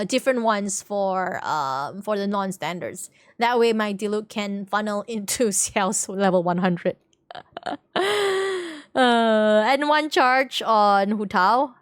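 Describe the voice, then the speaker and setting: Female game streamer, slightly high pitch, dry sound, casual and slangy, rambling chat with viewers. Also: no echo. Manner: chatty, rambling, slangy, repeats phrases. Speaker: game livestreamer.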